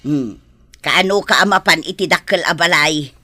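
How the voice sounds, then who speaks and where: A woman's voice: sits low, normal speed, sharp tone, dry recording. A woman acting a scheming, goading village mother in a Philippine radio drama. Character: scheming village mother, sharp and goading toward her son.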